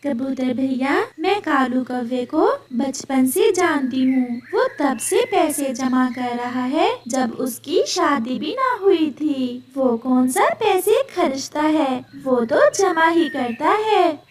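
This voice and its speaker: A woman's voice: high voice, lively intonation, takes it slowly, few pauses. A woman voicing a knowing, sensible female little bird in a cartoon, with a matter-of-fact, gossipy manner. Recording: echoey, noisy, distant microphone.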